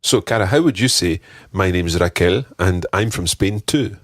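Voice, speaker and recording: A man's voice: low voice, measured pace, no room sound, studio quality. A man teaching a podcast language lesson, patiently modelling pronunciation and repeating drills for a learner. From Scotland.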